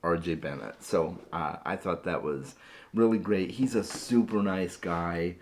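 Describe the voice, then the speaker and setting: Hoarse, deep-voiced man chatting. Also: measured pace, a little mellow, a little hiss or hum. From Phoenix area, USA. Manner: chatty, repeats words when starting sentences. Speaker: book vlogger. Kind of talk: casual chat to camera.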